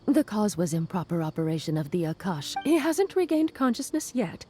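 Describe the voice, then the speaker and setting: A woman's voice: fairly low pitch, very animated, moderate pace, warm tone, faint music behind. A woman voicing a courteous, deferential records clerk in a video game, formal in manner. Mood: polite, businesslike. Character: polite, deferential female clerk at a records desk.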